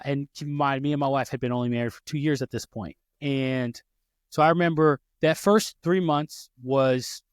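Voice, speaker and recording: A man in his thirties hosts a podcast in a casual, conversational way, drifting into personal stories and stopping to correct himself. His voice sits at an ordinary middle pitch. It is recorded close and dry, with no room sound. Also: clean tone, dry recording. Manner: storytelling, frequent restarts and self-corrections. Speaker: podcast host.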